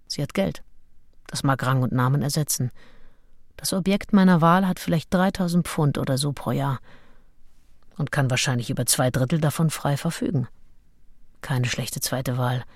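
A woman playing a calculating, self-assured middle-aged gentlewoman musing coolly in a radio drama diary monologue. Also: extremely low pitch, normal speed, long pauses, dry recording, clean studio sound. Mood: scheming. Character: calculating, self-assured English gentlewoman of forty-one, seducer.

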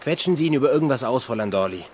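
A man's voice: medium pitch, expressive, racing, darkish tone, slight background noise, lightly acted. An actor playing a jovial, teasing man who courts women politely in a German radio drama.

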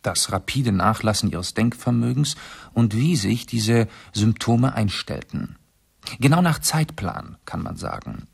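A shrewd gentleman sleuth in a radio drama. The voice is gravelly and slightly husky, at a middling pitch. He lays out his reasoning deliberately, with urbane composure. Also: lively intonation, heavily acted. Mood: analytical, composed. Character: shrewd English gentleman detective, methodically explaining his deductions. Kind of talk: radio play acting, 1970s.

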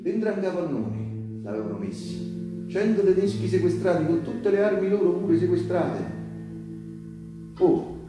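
A male actor playing an ordinary, excitable townsman who recounts a wartime battle in a stage monologue, with a central Italian accent and a colloquial, repetitive way of talking. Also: sits high, a little slow, pauses often. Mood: subdued, grave, then proud, excited. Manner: conversational, repetitive, with rhetorical tags. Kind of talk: live theatrical monologue in colloquial dialect-tinged speech. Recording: roomy live stage with music. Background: live guitar accompaniment.

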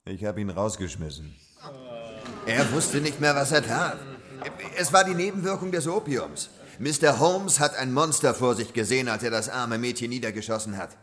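An actor playing a shady Victorian opium-den owner in a German radio drama, deferential and self-justifying as a witness. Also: male voice, mid-range voice, lively intonation, normal speed, fairly bright tone, lightly acted.

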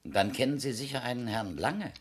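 An actor playing an authoritative, methodical police captain who questions formally and insistently in a 1970s radio crime play. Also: male voice, medium pitch, animated, fairly quick, husky, dry recording. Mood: controlled, probing. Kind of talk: 1970s German radio crime drama acting.

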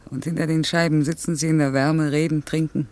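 Woman played by an actress, radio drama, wounded, bitter, sardonic, terse phrases, hushed edges. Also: low-pitched, level tone, a little fast, natural voice. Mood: bitter, wistful. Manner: terse, sardonic, dropping into whispers. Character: Regina, bitter, wounded woman being left by her lover. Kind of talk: naturalistic German radio drama acting.

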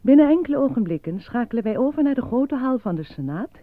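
A woman's voice: sits high, very animated, fast, dry recording. A woman playing a formal, solemn newsreader reading an official bulletin in a radio drama. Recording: dry, phone-like narrow band.

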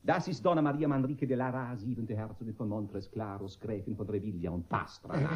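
An actor playing a suave, scheming count who flatters and woos in ornate courtly phrases in a radio comedy. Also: male voice, on the low side, a little fast, a little hiss or hum, natural voice. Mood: grand, ceremonious. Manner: courtly, smooth-talking, ornate. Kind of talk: German radio play comedy acting. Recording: dull, lo-fi old radio recording.

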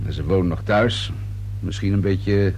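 An actor playing a wry, methodical police inspector in a radio drama, politely probing in a musing, unhurried way. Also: male voice, deep voice, husky, faint music behind, exaggerated voice. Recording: hum and steady noise behind voice.

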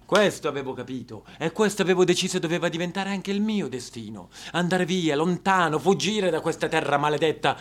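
A man delivering an exasperated comic monologue on a theater stage, swinging from loud rants to hushed, dramatic lines. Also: fairly high pitch, lively intonation, fairly quick, hardly any pauses. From Apulia, Italy. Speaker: stage actor.

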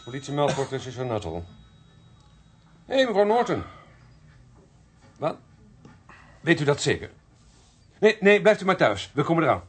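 An actor playing an eager, excitable male police officer in a radio play, with a husky voice. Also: on the high side, unhurried, long pauses, background noise. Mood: brisk.

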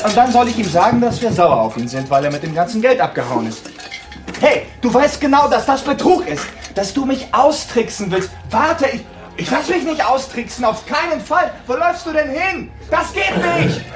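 A woman's voice: a little high, expressive, normal speed, natural voice. Actress as suspicious female boss, radio drama: volatile, widely swooping intonation, scolding and confiding, music behind. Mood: suspicious, agitated. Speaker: actor in a radio play. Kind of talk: dramatic German radio play monologue.

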